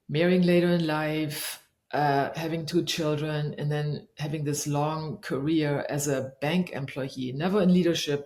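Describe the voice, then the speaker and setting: A woman's voice: deep voice, darkish tone. Reflective middle-aged woman, echoey room. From Germany. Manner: thoughtful, searching for words, many fillers. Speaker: guest, leadership coach. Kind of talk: reflective interview conversation.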